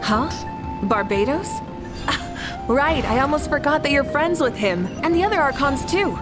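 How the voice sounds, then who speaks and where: Confident, high-voiced young warrior leader. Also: female voice, lively intonation, measured pace, loud music behind the voice. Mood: playful, casual. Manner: assured, teasing, rousing. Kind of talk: English game voice acting.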